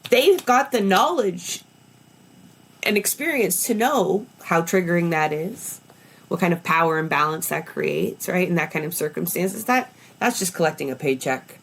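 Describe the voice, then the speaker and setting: A woman's voice: fairly low pitch, expressive, normal speed, fairly bright tone. A woman hosting a podcast interview, blunt and indignant, often restarting her words. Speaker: podcast host.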